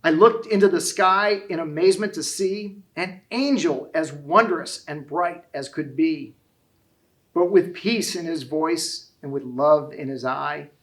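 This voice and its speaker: A man's voice: fairly high pitch, a little slow, a bit bright, pitched below the performer's own voice. A kindly old grandfather from a children's storybook, voiced by a man. He is a former shepherd, sorrowful and tender, and he tells his memories in earnest rhyme. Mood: earnest, reminiscing. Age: older.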